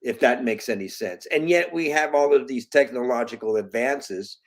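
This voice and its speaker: A man in his late fifties talks through an interview as a concerned parent. His delivery is halting and earnest, full of restarts and fillers, and turns emphatic at points. His voice sits in an ordinary middle pitch. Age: 50s. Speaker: guest, parent. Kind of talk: conversational interview.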